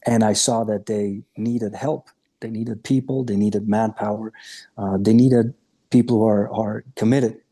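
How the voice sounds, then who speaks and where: A man's voice: on the low side, fairly even intonation, unhurried, warm tone, slightly rough. A man in his late thirties, from Romania and raised in Austria, telling his story as a podcast guest in a candid, earnest way. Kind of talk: casual interview chat.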